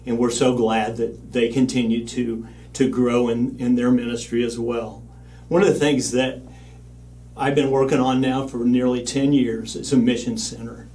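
An older man giving an earnest, measured banquet speech as the leader of a mission organization, standing at a lectern. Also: medium pitch, a little mellow, distant mic, rough recording. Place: studio with backdrop, at a lectern.

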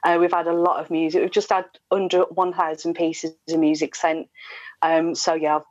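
A middle-aged woman with a very low voice talks casually, like a radio presenter being interviewed. The sound is dry and a little dull, picked up through earphones on a video call. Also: flat delivery, normal speed, a little mellow, dry recording. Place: indoors at home.

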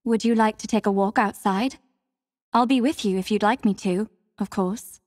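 An actress playing a devoted, composed young female warrior in a video game, speaking with formal, measured, soft courtesy. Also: mid-range voice, fairly even intonation, no room sound, studio quality, big-room sound, lightly acted. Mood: calm, caring. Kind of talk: scripted mobile game character lines.

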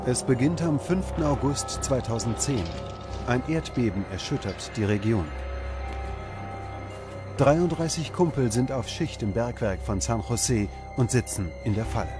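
A man narrates a documentary in a measured, dramatic delivery. His mid-range voice sits over clearly audible background music, carrying the scripted text steadily. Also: mid-range voice, animated. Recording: music behind the voice.

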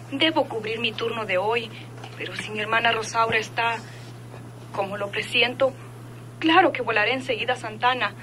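A woman's voice: a little high, bright, forceful tone, slight background noise, low-quality recording. A woman playing an anxious but composed nurse in a radio drama, asking questions with urgency.

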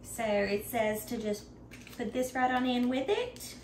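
A woman's voice: fairly high pitch, animated, a little slow, a little mellow, a little hiss or hum. A woman in her thirties chatting to viewers as she bakes desserts in her home kitchen, upbeat and talkative. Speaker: baking vlogger. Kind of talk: casual baking tutorial.

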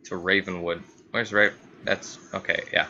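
Male gaming host, lowish and forceful tone, casual thinking-aloud commentary, repeats himself. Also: flat delivery, moderate pace, lo-fi sound. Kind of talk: casual let's play commentary. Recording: faint background noise.

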